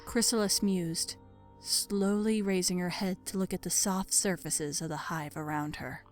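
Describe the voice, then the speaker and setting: A woman narrates a dark fantasy story from a script. Her voice is slightly low, with measured, dramatic delivery over faint music. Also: steady tone.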